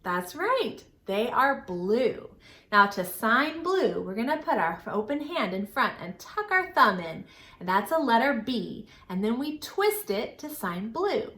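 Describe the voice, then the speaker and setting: A woman's voice: fairly high pitch, measured pace. A woman hosting a children's storytime, speaking in simple, encouraging, exaggerated phrases as she teaches and asks questions. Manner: exaggerated, animated delivery. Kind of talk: children's storytime lesson with questions and sing-song. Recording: roomy, echoing indoor sound.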